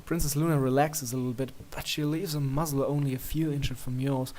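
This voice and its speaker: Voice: mid-range voice, fairly even intonation, fairly quick, darkish tone. A narrator reading a scripted story aloud.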